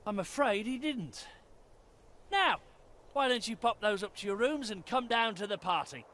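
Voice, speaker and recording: A man's voice: high voice, animated, normal speed, bright, forceful tone. A man playing a kindly, hesitant middle-aged wizard father in a video game. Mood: gentle, apologetic.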